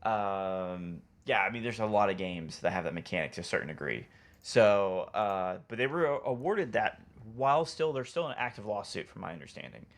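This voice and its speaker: A man with a fairly low voice talks casually as a podcast co-host. He explains video games in a loose, hesitant way, feeling his way through the details. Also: lively intonation, normal speed.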